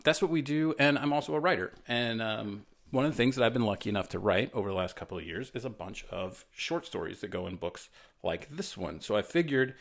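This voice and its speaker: An American man, a writer reading his own children's story aloud in a casual, self-effacing way with chatty explanatory asides. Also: lowish voice.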